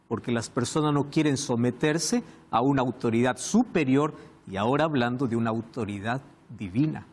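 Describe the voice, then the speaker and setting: A man hosting a Bible study program, speaking in a measured, emphatic way. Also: on the high side, darkish tone. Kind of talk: studio presentation.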